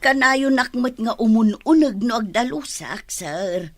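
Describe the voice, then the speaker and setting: Woman acting lilting, slow-spoken housemaid. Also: a little high, expressive. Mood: deferential. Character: deferential, meddling household maid. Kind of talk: Ilocano audio drama acting.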